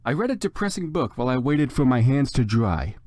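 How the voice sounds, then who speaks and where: A man's voice: mid-range voice, sing-song, fairly quick, a little mellow, dry recording, clean studio sound. A man giving a dramatic, comedic story reading as narrator, with theatrical and exaggerated emphasis.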